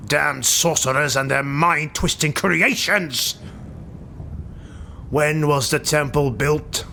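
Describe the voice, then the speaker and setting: A man voicing an arrogant, vengeful dark elf highborn in a fantasy audiobook, with a biting, scheming manner. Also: slow pace, creaky, exaggerated voice. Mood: irritated. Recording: noisy home recording.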